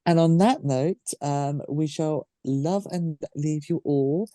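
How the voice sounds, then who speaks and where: A woman's voice: low-pitched, slow, dark tone, dry recording, clean studio sound. A woman hosting a podcast, chatting casually in a jokey, informal way. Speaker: podcast host. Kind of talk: casual chat.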